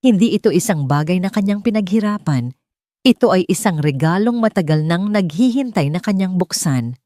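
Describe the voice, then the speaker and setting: A woman's voice: lowish voice, lively intonation, moderate pace, warm tone, dry recording, clean studio sound. A woman with a Filipino accent narrating a scripted, dramatic story.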